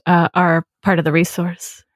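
A woman's voice: on the low side, completely flat delivery, moderate pace, dry recording, clean studio sound. A woman hosting a podcast, speaking in a reflective, thoughtful way. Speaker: podcast host. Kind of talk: conversational interview.

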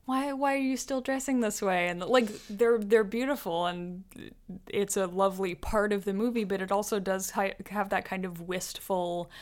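A woman's voice: mid-range voice, moderate pace. Chatty woman discussing a film. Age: young adult. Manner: chatty, laughs often, trails off mid-sentence. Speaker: podcast co-host.

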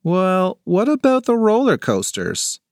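A man's voice: sits high, huge pitch swings, a little slow, no room sound, clean studio sound, pitched above the performer's own voice, full character voice. A man voicing a mild, helpful young boy in an audiobook.

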